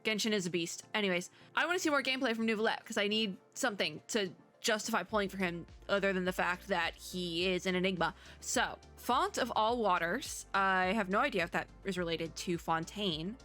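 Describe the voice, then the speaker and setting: A woman's voice: medium pitch, a little fast, fairly bright tone, soft background music. A woman hosting a casual gaming reaction video, chatty and rambling, with playful exasperation. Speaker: reaction video host and VTuber. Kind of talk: casual reaction chat to gamers. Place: indoors at a home computer setup.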